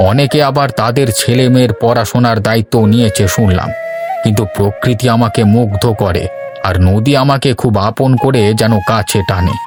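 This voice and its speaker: Cartoon role: male actor as contented boatman of sixty, mid-pitched, measured storyteller, music underneath. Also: lightly acted. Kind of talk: cartoon dialogue acting.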